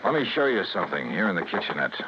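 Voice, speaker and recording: An actor with an American accent playing a shrewd, confident insurance investigator in a hardboiled radio drama, brisk and clipped. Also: male voice, low-pitched, very animated. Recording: dull, noisy old radio recording.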